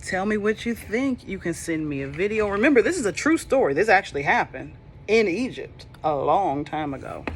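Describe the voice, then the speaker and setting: A woman reading a children's Bible storybook aloud, slow and expressive, with soft whispered moments and playful asides to young listeners. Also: mid-range voice, slight background noise. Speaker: storybook reader.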